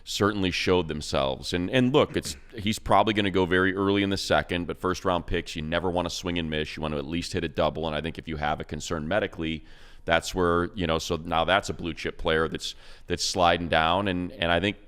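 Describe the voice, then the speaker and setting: Male sportscaster, very low voice, smooth and resonant, relaxed conversational football analysis. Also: brisk. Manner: conversational, rambling analysis with fillers. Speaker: radio play-by-play announcer. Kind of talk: casual sports talk.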